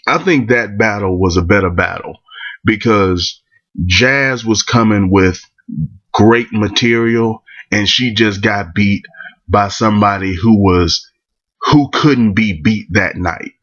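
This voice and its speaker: A man's voice: lowish voice, slow pace, long pauses, fairly bright tone, lo-fi sound. A man with a cold, rambling bluntly through his opinions in a casual monologue. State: catching a cold. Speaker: vlogger giving battle rap opinions.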